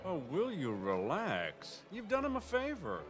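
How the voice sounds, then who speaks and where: A drawling rich snob. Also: male voice, high-pitched, wildly swooping pitch, measured pace, big-room sound, music in the background, full character voice. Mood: mocking. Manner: drawling, condescending. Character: snobbish wealthy grown man, scornful socialite. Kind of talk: animated series voice acting.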